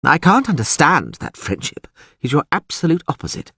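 Male reader as peevish older priestess, sharp tongue, husky tone, highly animated storybook delivery. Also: normal speed, no echo, studio quality, exaggerated voice. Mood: peeved. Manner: scornful, sarcastic.

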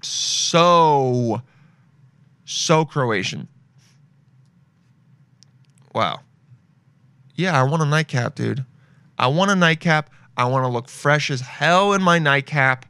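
A man's voice: fairly high pitch, slow pace, long pauses, sharp tone, clear, resonant tone, no echo. A young man hosting a casual podcast, rambling and joking as he browses shopping sites and reads reviews aloud. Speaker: podcast host.

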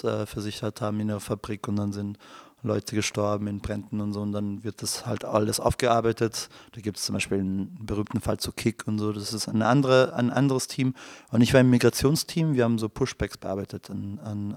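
A man in his thirties talks as a studio podcast guest, explaining at length with many fillers and restarts. His voice is low and flat in pitch. The recording is dry and close. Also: fairly quick, no echo. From Germany. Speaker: guest, dramaturg and human rights lecturer. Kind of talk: casual interview chat.

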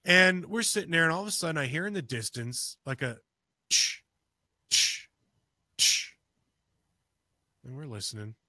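A man with a bright, forceful voice recounts a story on a podcast in a loose, rambling way, full of restarts and "you know." The sound is close and dry. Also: on the high side, very animated, unhurried, pauses often, dry recording. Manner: gets louder and more urgent as the story builds. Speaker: podcast co-host. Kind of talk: casual storytelling of a personal encounter.

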